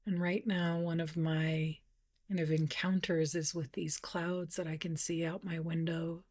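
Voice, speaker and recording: Slow, soft-spoken woman guiding meditation. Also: low-pitched, little pitch movement. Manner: gentle, unhurried, with long pauses. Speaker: meditation teacher. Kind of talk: guided meditation.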